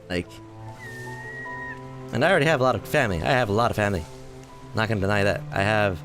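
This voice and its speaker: A man in his twenties giving rambling, self-deprecating commentary while playing a game, his voice tired and a little hoarse. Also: on the low side. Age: 20s. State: voice tiring, slightly hoarse. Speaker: gaming video host. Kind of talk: casual let's-play commentary.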